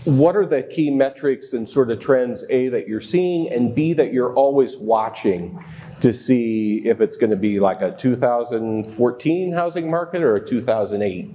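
A man moderating a conference panel, posing brisk structured questions, speaking on a lapel mic. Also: medium pitch, warm tone. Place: large conference hall stage.

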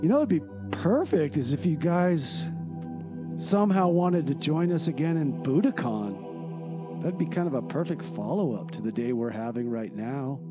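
A man's voice: on the high side, lively intonation, moderate pace, natural voice. A man playing a dreamy, bemused time traveler in a comedy radio skit, rambling along in a blissed-out way. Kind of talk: comedy radio theater monologue. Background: soft new-age music.

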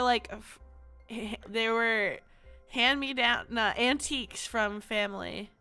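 A young woman streaming casually, with a stuffy nose from allergies, loud and prone to sudden screaming. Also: sits high, smooth. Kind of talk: casual livestream chat.

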